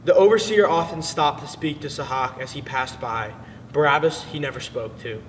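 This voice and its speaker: A man's voice: fairly high pitch, normal speed, slight background noise. A man narrating a dramatic literary reading in a solemn, theatrical manner. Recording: distant in long hall reverb, loud music. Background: string music.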